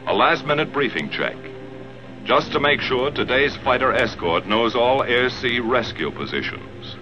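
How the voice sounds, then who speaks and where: A man's voice: mid-range voice, sing-song, unhurried. American man narrating a dated 1940s war film. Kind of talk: 1940s military documentary film narration, scripted. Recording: dull 1940s film soundtrack, loud music. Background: loud film score.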